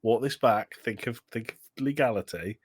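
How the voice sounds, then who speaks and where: A man's voice: mid-range voice, normal speed, slightly rough, dry recording. A man co-hosting a podcast in casual comedic banter, with a teasing, sweary way of interjecting. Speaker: podcast co-host.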